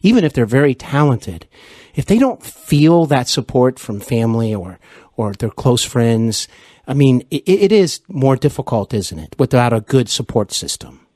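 A man hosting a casual interview podcast, speaking in an effusive, complimentary, folksy way with halting, deliberate phrasing. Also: mid-range voice, sing-song, dark tone, dry recording, clean studio sound. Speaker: podcast host interviewing a guest.

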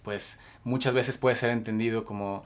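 A man's voice: mid-range voice, steady tone, normal speed. A man with a Mexican accent hosting a podcast and introducing his guest at length with many fillers. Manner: rambling, filler-heavy introductions. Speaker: podcast host. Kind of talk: casual podcast interview.

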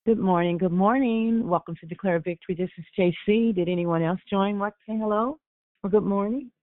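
A woman hosts a morning prayer conference call, repeating warm greetings to each caller as they join. Her slightly raspy voice comes through a dry, tinny phone line. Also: mid-range voice, brisk, no echo. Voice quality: gets raspy. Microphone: telephone.

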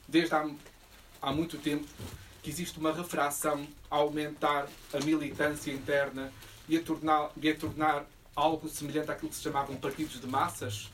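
A man speaking spontaneously about politics at a public discussion, in formal wording with hesitant, halting phrasing. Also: a little high, flat delivery, fairly bright tone. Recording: distant room microphone, faint background noise.

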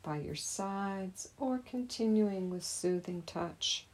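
Very slow, soothing woman guiding meditation. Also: medium pitch. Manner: gentle, soothing, unhurried. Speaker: meditation teacher. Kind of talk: guided meditation.

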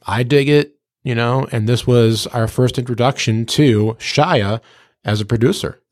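A man's voice: medium pitch, measured pace, no echo, studio quality. A man from New England hosting a radio interview, with polished, formal announcer phrasing and some slang mixed in. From United States, New England.

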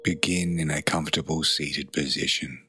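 Very deep-voiced man guiding meditation. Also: fairly even intonation, over music. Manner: soothing, measured, with long pauses between phrases. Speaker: meditation guide. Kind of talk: guided meditation narration.

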